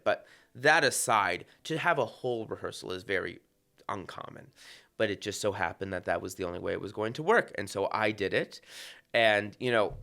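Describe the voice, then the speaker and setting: A man's voice: sits low, creaky, fairly bright tone. A conductor being interviewed on a podcast, talking in a reflective way and often restarting his sentences. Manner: reflective, many restarts and fillers.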